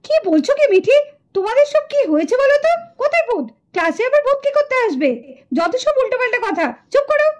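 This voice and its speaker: A woman playing a strict female schoolteacher who scolds her pupils briskly. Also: very high voice, sing-song. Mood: scolding.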